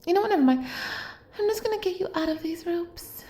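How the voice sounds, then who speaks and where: A woman's voice: extremely high pitch, expressive, a little fast, mellow, roomy sound. A woman playing an obsessive, doting young female kidnapper in an intimate roleplay audio, speaking straight to the listener in a cutesy, gushing, coaxing way. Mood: sheepish, eager.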